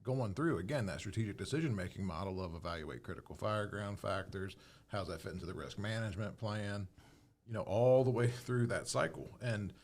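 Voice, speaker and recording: A man's voice: on the low side, brisk, darkish tone. A man explaining procedures in a casual panel discussion, thinking aloud and repeating words as he goes. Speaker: podcast panelist and fire service instructor.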